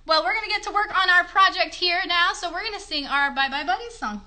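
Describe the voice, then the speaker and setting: A woman's voice: extremely high pitch, fast talker, bright, forceful tone. A woman in her thirties chatting to children as a puppet show host, encouraging and reassuring. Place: bedroom. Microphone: phone a short distance away.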